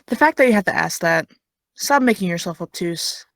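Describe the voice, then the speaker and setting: A woman's voice: on the low side, animated, moderate pace, no room sound. Young woman doing a snarky teen girl with zero filter, teasing, super dry sound. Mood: prodding. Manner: sarcastic, blunt. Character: blunt teasing high school girl, no filter. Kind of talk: audio drama.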